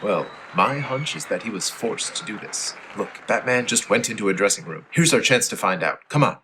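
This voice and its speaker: A man's voice: lowish voice, animated, moderate pace, heavy background noise, close to an everyday voice. A man playing an upright, righteous adult hero with earnest, emphatic delivery in 1940s radio serial style. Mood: earnest, concerned.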